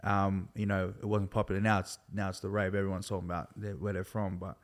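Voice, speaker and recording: A laid-back Australian man in his thirties speaks in a low, steady voice that barely rises or falls. He talks loosely and at length, circling his point with plenty of "like" and "bro", as a guest in an easygoing conversation. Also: quick pace. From Sydney, Australia. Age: 30s. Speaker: guest, hip hop artist. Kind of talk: casual conversation.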